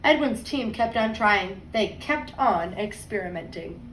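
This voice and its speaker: A woman teacher reading a picture book aloud to young children, expressively and deliberately, stressing words and adding conversational asides. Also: mid-range voice, lively intonation, fairly bright tone. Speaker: second grade teacher. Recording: roomy echo, distant mic, faint noise.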